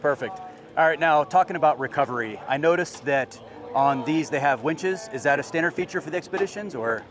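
A man's voice: on the high side, a little fast, fairly bright tone, faint music behind. A man in his thirties hosting a casual on-location interview outdoors with a clip-on mic. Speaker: interviewer, video host. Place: outdoors at a busy expo ground. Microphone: wireless clip-on mic.

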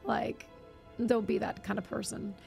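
A woman's voice: mid-range voice, flat delivery, a little slow, gravelly, darkish tone, soft background music. A young woman streaming a game, chatting casually with viewers in a slightly scratchy voice, often stumbling and restarting her sentences. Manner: chatty, stammering restarts, reacting aloud. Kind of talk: casual live-stream chat while gaming.

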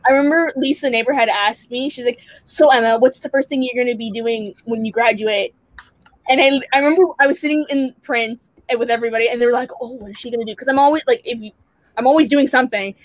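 Young woman, higher voice, talkative, lively quoted asides, webcam call from home. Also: fast, no echo. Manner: chatty, animated storyteller with quoted asides. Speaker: panelist artist. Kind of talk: casual video-call chat. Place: home room indoors. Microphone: laptop webcam mic on video call.

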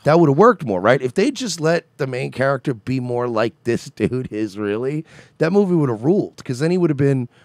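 Man, thirties, podcast co-host, casual chat, very lively pitch, dry close sound. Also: brisk, no echo. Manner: animated storytelling, mimics people he met. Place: home studio with red curtain.

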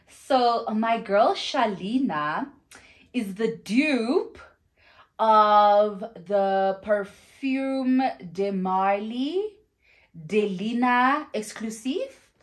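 Young south african woman vlogging, slow easy pace, gushy and chatty, mid pitch. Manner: chatty and effusive, with exclamations and fillers. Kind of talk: casual perfume review vlog. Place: home room with shelves, indoors.

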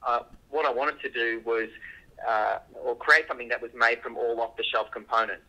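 Sharp, radio-like man explaining. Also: mid-range voice, steady tone, moderate pace, lo-fi sound. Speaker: engineer being interviewed. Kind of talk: unscripted interview explanation.

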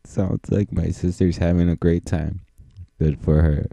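A man's voice: deep voice, level tone, unhurried, creaky, dark tone, no room sound. A man doing a goofy, improvised parody dub as an amateur voice performer, with crude joking and silly repeated nonsense. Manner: silly, repetitive nonsense ad-libbing.

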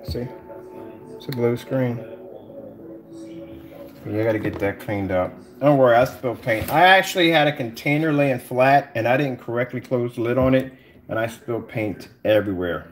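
A man's voice: mid-range voice, normal speed, slight background noise. Rambling man in his fifties. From Philadelphia. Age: 50s. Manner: rambling, repeats himself, talks straight to viewers. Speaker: screen paint vlogger. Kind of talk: casual chat to viewers while livestreaming. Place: home theater room indoors.

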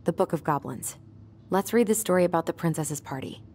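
A woman's voice: fairly low pitch, animated, a little fast, warm tone, breathy edge. A woman playing a wry, teasing young twin sister in an understated, naturalistic video game style. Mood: gently teasing. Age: young adult.

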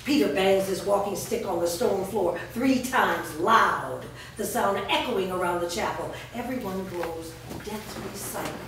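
A woman reading scripted stage directions aloud as narrator at a staged reading. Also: mid-range voice. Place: large bare indoor room, staged reading before a small audience. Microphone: distant camera. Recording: echoey room from far off, noisy.

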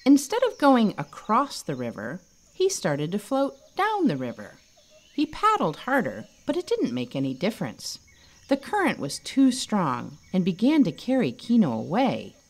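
A woman reading a children's story as narrator, expressive and dramatic. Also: mid-range voice, moderate pace. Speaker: author reading own storybook.

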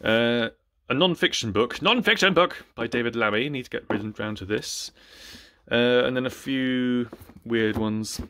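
A man's voice: mid-range voice, a little slow, bright, forceful tone. A man in his thirties chatting casually about books to camera, hesitant and rambling, often breaking off mid-sentence. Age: 30s. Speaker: book reviewer vlogger. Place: small indoor room with bookshelves.

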